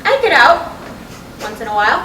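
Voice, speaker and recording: A woman acting a restless, sharp-tongued middle-aged wife in a staged reading of a play, expressive and pointed. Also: fairly high pitch, moderate pace. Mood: teasing. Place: indoor live reading at a college. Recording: echoey room from far off, noisy.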